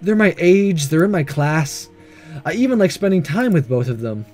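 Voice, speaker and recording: A man voicing an earnest, nervous, lovestruck male high school student in a visual novel, speaking hesitantly. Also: expressive, normal speed, darkish tone, faint music behind. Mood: earnest, pondering. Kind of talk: reading visual novel dialogue aloud.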